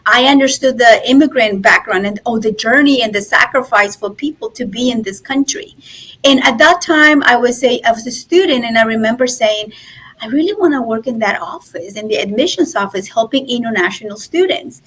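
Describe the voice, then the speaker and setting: High-voiced Mexican woman telling her story. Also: lively intonation, brisk, a bit bright, low-quality recording. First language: Spanish. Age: 40s. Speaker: podcast guest, nonprofit president and business founder. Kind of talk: conversational interview, personal storytelling.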